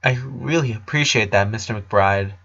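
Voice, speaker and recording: A man's voice: lowish voice, normal speed, creaky, fairly bright tone, lo-fi sound. A man playing a polite, resigned eleven-year-old boy in a story, hesitant and subdued.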